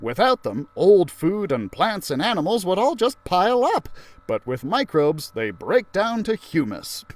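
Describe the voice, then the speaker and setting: A man plays an eccentric woman science teacher from a children's storybook. The voice is raised in pitch and swoops with eager emphasis as the lesson is explained, recorded dry and close. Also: very animated, unhurried, dry recording, full character voice. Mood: eager explaining.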